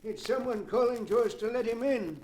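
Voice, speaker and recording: An actor playing a fussy, scheming professor of spiritualism, pompous and precise, in 1940s radio drama. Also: male voice, very high-pitched, brisk, a little mellow, slight background noise, rough recording. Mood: intrigued.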